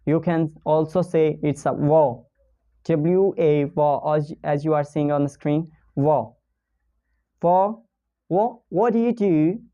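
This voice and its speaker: A slow-speaking teacher with a Pakistani accent walks through pronunciation examples, repeating each phrase and checking in often. The voice is mellow and smooth. The recording sounds dull and lo-fi. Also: mid-range voice. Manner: non-native English, repeats examples, checks in with "okay?". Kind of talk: casual online pronunciation lesson.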